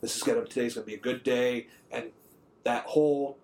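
A man's voice: medium pitch, fairly even intonation, fairly quick, a little mellow. A man of about thirty co-hosting a podcast in a rambling, conversational way. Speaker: podcast co-host. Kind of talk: casual chat.

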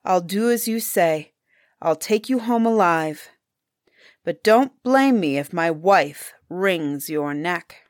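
A woman's voice: on the low side, animated, slow, no room sound, studio quality. A woman voicing a kindly but firm peasant hunter in a storybook tale. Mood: relenting. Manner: firm, mild-tempered.

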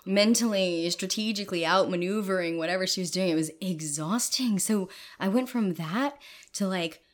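Raspy, giggly woman telling frank stories. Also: mid-range voice, moderate pace. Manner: giggly, frank, rambling storyteller. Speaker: guest. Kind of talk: casual chat.

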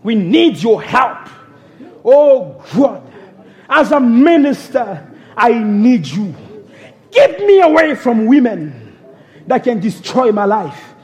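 High-voiced young preacher praying fervently. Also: male voice, big pitch swings, slow pace, long pauses, darkish tone. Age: young adult. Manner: fervent, pleading, crying out "oh God" between phrases. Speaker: minister.

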